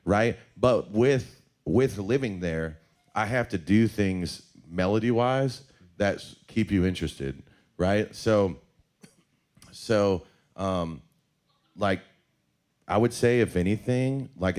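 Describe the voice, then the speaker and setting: Deep-voiced young man giving rambling feedback. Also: darkish tone. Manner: earnest, rambling, lots of fillers. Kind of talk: casual feedback chat.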